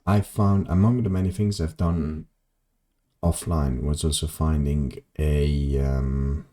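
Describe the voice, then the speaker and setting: A man's voice: fairly even intonation, slow, warm tone. A young man, chatting loosely while live-streaming a game, full of ums and self-deprecating asides. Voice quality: very low voice. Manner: rambling, self-deprecating, many ums and restarts. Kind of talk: casual live-stream chat while gaming.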